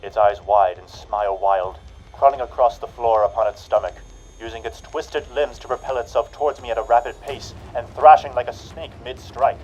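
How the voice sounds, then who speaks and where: An actor playing a cruel, taunting narrator of an old-time radio horror play, slow and mocking. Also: male voice, medium pitch, fairly even intonation, darkish tone, muffled recording, lightly acted. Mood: dread.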